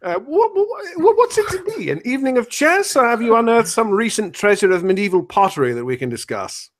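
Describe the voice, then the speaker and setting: A man playing a genteel, affable gentleman detective, stammering and theatrically courteous, in an old-time radio drama spoof. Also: very high voice, sing-song, a little fast. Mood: amused, curious.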